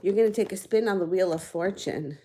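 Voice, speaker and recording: A woman's voice: mid-range voice, brisk, noisy background. A woman giving live-stream tarot readings in a casual, chatty, reassuring way, a little out of breath and slightly nasal from congestion. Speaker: tarot card reader.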